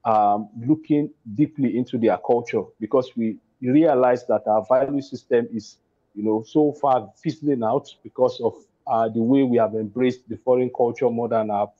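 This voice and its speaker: A Nigerian playwright talks about his work over a video call. His voice is mellow and warm, and the line sounds a little dull and lo-fi. He hesitates often, stacking fillers and restarting his sentences. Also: mid-range voice, fairly even intonation, moderate pace. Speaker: playwright and producer.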